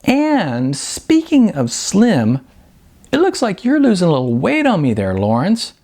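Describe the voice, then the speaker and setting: A man's voice: high voice, huge pitch swings, a little slow, a little mellow, voice pushed up, full character voice. A man voicing a brash, chatty middle-aged female talent agent, breezy, blunt and flirty, in an audiobook. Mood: teasing, emphatic. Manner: breezy, wisecracking, emphatic.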